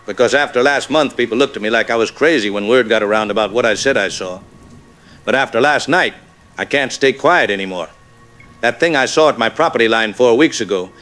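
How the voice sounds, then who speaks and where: A man playing a shaken rural cattle rancher, gravely telling his story as a caller in a radio drama. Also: medium pitch, a bit bright, lightly acted. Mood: uneasy, insistent.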